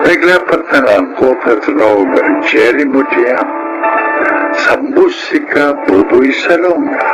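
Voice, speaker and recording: A Filipino man announces a radio drama in a solemn, scripted way. His delivery is slow and measured, and loud music plays behind him. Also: mid-range voice, poor audio. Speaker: announcer and narrator.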